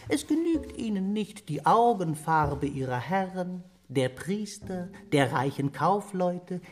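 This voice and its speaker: An actress playing a proud, seductive, aggrieved Satan in a radio play, speaking in a theatrical, deliberate way. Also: female voice, medium pitch. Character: Satan, proud, aggrieved, seductive storyteller addressing a coffeehouse crowd. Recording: music behind the voice.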